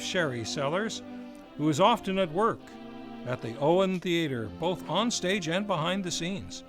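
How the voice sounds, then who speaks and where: A man hosting an old-time radio show, reading a scripted introduction in a grandiose, theatrical showman's manner. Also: on the high side. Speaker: radio show announcer and host.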